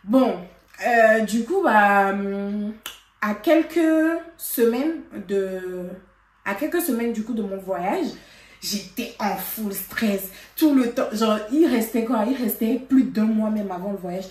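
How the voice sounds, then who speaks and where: A woman vlogger telling a story in casual chat, animated and laughing, with lots of fillers. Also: mid-range voice, slow. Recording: roomy, from a distance.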